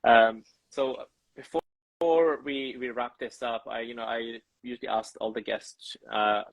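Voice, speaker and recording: A podcast host, a man with a middle-pitched voice, who talks in halting bursts full of restarts and fillers. He keeps heaping thanks and praise on his guest. His voice sounds close and dry, with no room around it. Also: steady tone, a bit bright, no room sound, rough recording. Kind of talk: casual interview chat.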